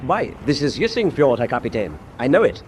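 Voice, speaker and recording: A man's voice: mid-range voice, sing-song, brisk, heavy background noise, natural voice. An actor playing a dutiful, cautioning German ship's officer in a radio drama, deferential to his captain. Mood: wary.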